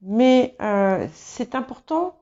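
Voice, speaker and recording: A woman whose first language is English gives a tarot reading slowly and haltingly, with many fillers and restarts. Her voice is mellow and unhurried. The recording sounds a little dull and lo-fi. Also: on the high side, lively intonation. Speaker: tarot reader. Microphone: small clip-on mic.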